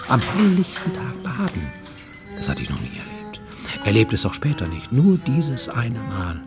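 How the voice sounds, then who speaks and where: An actor playing a brooding, lonely man confessing his troubled youth in a radio play, halting, intense and confiding, often close to whispering. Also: mid-range voice, wildly swooping pitch. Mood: intense, haunted. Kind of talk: dramatic first-person monologue in a radio play. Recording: studio radio play with music underneath.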